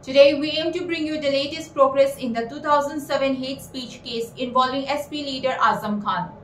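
A young woman presenting scripted news in a formal, measured manner. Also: steady tone. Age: young adult. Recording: roomy echo, low rumbling noise.

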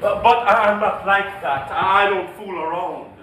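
Flustered husband, echoey stage. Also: male voice, high voice, normal speed, bright, forceful tone. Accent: Jamaican. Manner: hesitant, stammering. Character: nervous, smitten married middle-aged husband. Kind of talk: live stage comedy-drama acting. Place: indoor theatre stage before an audience. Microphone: distant stage or camera mic. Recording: echoey theatre stage, distant mic, noisy.

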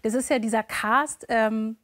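A woman's voice: fairly high pitch, fairly even intonation, measured pace, fairly bright tone. A woman in her thirties hosting a TV talk show, interviewing in a casual, conversational way. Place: TV studio talk-show set. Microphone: clip-on lapel mic.

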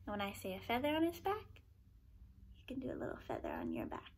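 A woman in her thirties reading aloud to young children as a preschool teacher, phrasing simply and clearly. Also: medium pitch, huge pitch swings, fast talker. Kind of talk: children's storytime read-aloud.

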